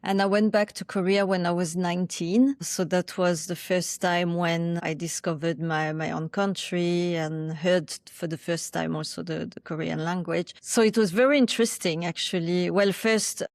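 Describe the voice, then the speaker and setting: Brief: woman guest, thirties, lowish voice, dry close sound, reflective and hesitant delivery. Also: steady tone, normal speed, hardly any pauses, no echo. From France. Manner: thoughtful, with frequent restarts and hesitations. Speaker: podcast guest. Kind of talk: reflective interview answers.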